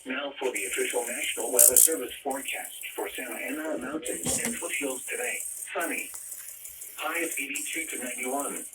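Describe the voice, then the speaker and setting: A man's voice: mid-range voice, recorded from a distance, heavy background noise. A man reading a scripted weather bulletin in a formal, automated-sounding way over a weather radio broadcast. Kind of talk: scripted weather forecast and test announcement.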